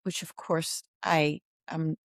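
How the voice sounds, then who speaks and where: A woman in her fifties with a Belfast Irish accent, telling her life story as an interview guest in a candid, animated way with quoted asides. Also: low-pitched, slow pace, no echo, studio quality. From Northern Ireland. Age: 50s. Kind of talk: reflective interview conversation.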